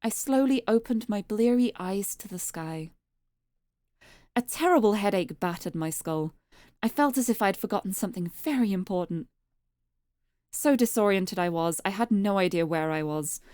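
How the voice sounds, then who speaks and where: A woman's voice: mid-range voice, expressive, normal speed, warm tone, no echo, studio quality. A woman streamer reading visual novel narration aloud, expressively and dramatically, with casual asides to viewers. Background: music.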